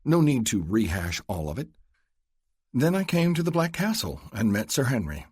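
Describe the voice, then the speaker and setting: A man's voice: medium pitch, big pitch swings, moderate pace, darkish tone, slightly rough, dry recording, studio quality, pitched above the performer's own voice. A man voicing a cool, charming young upper-class woman with a Philadelphia accent and a poised manner.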